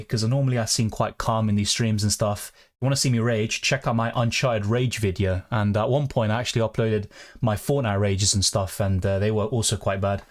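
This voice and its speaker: A young man chatting casually on a live stream, rambling and reading out chat messages. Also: mid-range voice. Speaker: fantasy football streamer.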